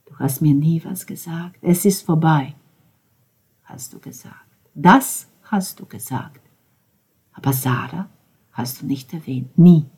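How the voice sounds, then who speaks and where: A woman reading as a grieving, bitter young man, a drummer, in an intense first-person monologue that veers between hushed whispers and outbursts. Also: deep voice, measured pace, long pauses, breathy edge, heavily acted. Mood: anguished. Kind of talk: dramatic audiobook reading.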